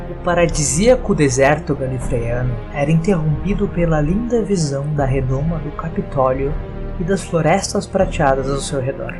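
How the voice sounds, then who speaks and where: A man with a Brazilian accent reading scripted story narration as the narrator of an audio tale. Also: a little high. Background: music.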